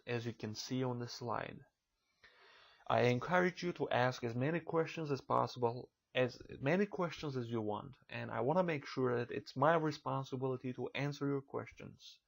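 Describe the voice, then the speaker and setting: A man from Turkey with a Turkish accent delivers a recorded lecture for an online college course. His voice has a gravelly edge, and he speaks in a measured, careful way, sometimes restarting a phrase. His pitch sits in an ordinary middle range. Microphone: computer mic for a narrated slideshow.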